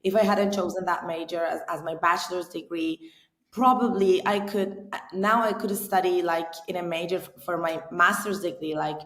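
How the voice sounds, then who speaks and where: A woman's voice: fairly low pitch, fairly even intonation, moderate pace, a bit bright, reverberant. A woman in her thirties from Iran, with an Iranian accent, speaking English as a second language as an interview guest. She talks earnestly and often restarts her sentences while she searches for words. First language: Persian. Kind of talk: casual interview conversation.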